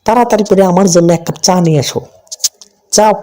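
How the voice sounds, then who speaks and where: A man's voice: high voice, fast talker, natural voice. A man voicing a strict, bossy old father-in-law in a cartoon, with a harsh, scolding manner. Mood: demanding. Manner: harsh, bossy, shouting rebukes. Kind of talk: cartoon voice acting.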